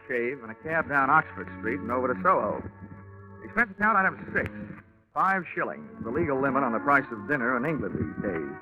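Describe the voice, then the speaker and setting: An actor playing a wry, hard-boiled American investigator in a 1940s radio detective drama, deadpan and wisecracking. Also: male voice, medium pitch, close to an everyday voice. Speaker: detective narrator. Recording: muffled 1940s radio recording with music.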